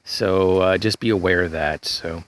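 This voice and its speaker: A man giving an informal, unscripted gear demonstration in a halting, rambling way, like a hobbyist vlogger. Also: sits low. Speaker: prepper vlogger presenting gear. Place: outdoors, in breezy weather. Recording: clear background noise, outdoor ambience.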